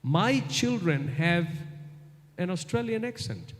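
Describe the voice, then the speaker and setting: A man's voice: high voice, expressive, slow pace. A middle-aged man from Bangladesh with a Bangladeshi English accent, giving a live guest lecture and answering a student's question, repeating his key points for emphasis. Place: large university hall. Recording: long hall reverb.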